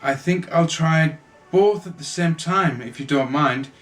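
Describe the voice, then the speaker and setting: A man's voice: a little high, unhurried, close to an everyday voice. A man voicing a small, polite, earnest young bear in a children's storybook, speaking with formal manners. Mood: eager, polite.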